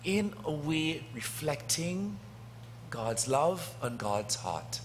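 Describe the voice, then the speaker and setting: Male priest, middle-aged, slightly high voice, slow deliberate sermon delivery with long dramatic pauses, faint background hiss. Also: big pitch swings. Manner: slow and deliberate, long pauses, swelling loud then dropping soft. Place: church sanctuary, indoors. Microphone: lectern gooseneck mic.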